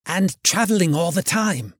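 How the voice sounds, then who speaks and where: A man's voice: high-pitched, animated, moderate pace, a bit bright, slightly rough, pitched above the performer's own voice, exaggerated voice. A man voicing a crotchety, frightened old miser in a storybook reading, querulous and pleading in a thin, strained voice. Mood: meek, musing.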